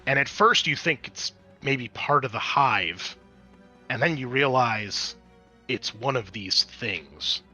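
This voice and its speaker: Bright-voiced male game master over music. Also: medium pitch, lively intonation, slow pace. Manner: conversational, improvised explaining mixed with dramatic scene description. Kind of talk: narrating a tabletop roleplaying game.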